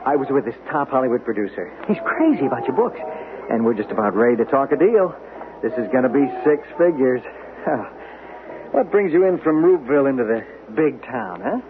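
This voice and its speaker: An actor playing a fast-talking male agent who stammers through a guilty confession, in a vintage radio drama. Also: mid-range voice, very animated, noisy background, lightly acted. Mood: breezy. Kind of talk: 1970s radio drama acting. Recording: tinny old radio broadcast.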